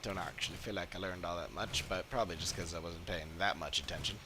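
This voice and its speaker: Offhand, low-voiced male game streamer. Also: quick pace, background noise. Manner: muttering, offhand, thinking aloud. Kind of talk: casual play-along commentary.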